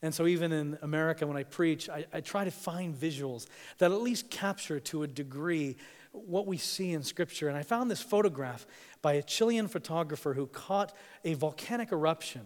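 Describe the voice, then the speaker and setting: A man who grew up in East Africa, preaching a sermon, swinging between hushed and emphatic delivery with dramatic pauses. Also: fairly high pitch. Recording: long hall reverb.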